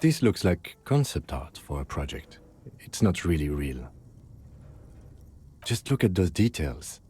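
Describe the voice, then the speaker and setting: A man's voice: deep voice, very animated, a little slow, a little mellow, slightly rough, exaggerated voice. A man playing a thoughtful, reserved companion character in a video game, speaking in an understated, observant way. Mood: quietly appreciative.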